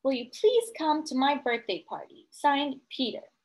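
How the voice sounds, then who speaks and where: A woman's voice: sits high, big pitch swings, a little slow, exaggerated voice. A woman voicing an earnest young boy from a picture book, announcing his plans.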